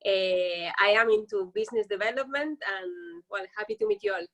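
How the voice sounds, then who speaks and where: A woman's voice: medium pitch, expressive, normal speed, a bit bright. A woman from Argentina in business development, introducing herself politely and hesitantly on a video call.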